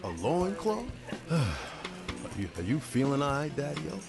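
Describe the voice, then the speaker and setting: An actor playing a loyal, folksy barkeep in an old-time radio drama, slangy and deferential. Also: male voice, mid-range voice, sing-song, slow pace, vocal fry, music in the background, full character voice. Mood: puzzled. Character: loyal, folksy speakeasy barkeep man, puzzled, solicitous.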